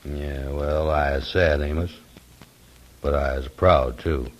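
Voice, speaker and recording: A very low-voiced, unhurried man from a 1940s radio play. He speaks in a folksy, ungrammatical dialect with plain, simple manners. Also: steady tone. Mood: sad, proud. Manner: slow, folksy dialect speech with nonstandard grammar. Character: Andy, sad but proud slow-talking man. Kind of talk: 1940s radio comedy-drama acting.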